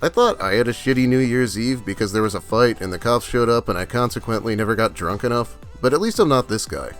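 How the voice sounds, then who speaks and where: A man's voice: medium pitch, fast, soft background music. A man hosting a scripted commentary in a sardonic, mocking manner. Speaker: host of a commentary video.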